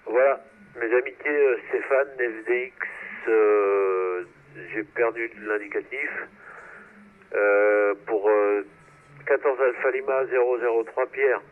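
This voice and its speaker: A man's voice: mid-range voice, fairly even intonation, takes it slowly. A man chatting casually over amateur radio, repeating himself for emphasis, heard through a transceiver. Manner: emphatic repetition, frequent tag words. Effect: two-way radio transmission. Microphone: radio transceiver, heard through receiver. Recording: tinny, narrow-band two-way radio.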